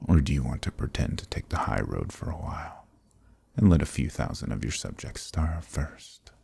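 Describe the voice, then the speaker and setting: Casting: male actor for ancient dominant archdemon, dark fantasy ASMR. Bottomless bass with husky rasp, slow, smug, commanding delivery. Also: big pitch swings, dry recording, exaggerated voice. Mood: condescending, menacing. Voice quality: very deep, gravelly, husky, breathy. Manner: slow, deliberate, condescending, commanding.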